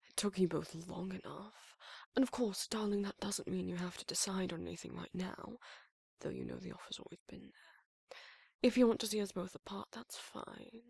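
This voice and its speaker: A man playing a frail, flirtatious lover in intimate ASMR roleplay, with a theatrical drawl and a breathy, creaky voice. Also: high voice, normal speed, darkish tone, no room sound, studio quality, exaggerated voice. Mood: sly, seductive. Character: frail, languid, flirtatious male lover, grumbling and seductive.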